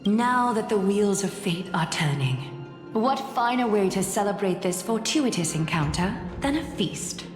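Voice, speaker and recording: A woman voicing a regal, brazenly assured noblewoman prophetess in a video game, stately and theatrical in delivery. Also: on the low side. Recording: echoey hall reverb, light music behind.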